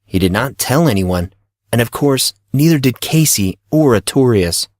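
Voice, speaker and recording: A male narrator reads a fiction story from a script. His pitch is average for a man, and the recording is close and dry with hardly any room sound. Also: expressive, moderate pace, darkish tone, no room sound. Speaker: audiobook narrator.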